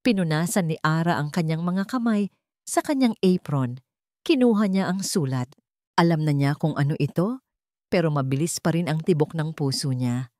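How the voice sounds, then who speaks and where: A woman's voice: deep voice, expressive, normal speed, a little mellow, no room sound, studio quality. A woman reading a dramatic love story as an audiobook narrator.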